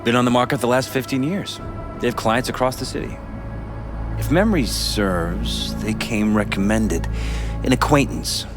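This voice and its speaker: An actor playing a polished but troubled middle-aged politician in a video game, speaking in a measured, courteous, earnest way. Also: male voice, mid-range voice, close to an everyday voice. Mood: concerned. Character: polished, troubled male politician confiding in an investigator. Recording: music behind.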